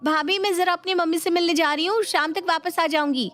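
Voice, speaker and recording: A woman's voice: very high voice, steady tone, brisk, a bit bright, faint music behind, voice pushed up. A woman voicing a naive, lovestruck young married woman, coy and emotive, in a dramatized moral story. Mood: flustered, defensive.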